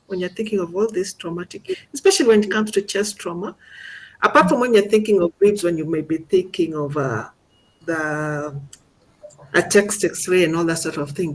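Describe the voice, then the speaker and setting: A woman's voice: mid-range voice, darkish tone, low-quality recording. A middle-aged woman, teaching radiology in an informal seminar and explaining things step by step with questions along the way. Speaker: radiology instructor.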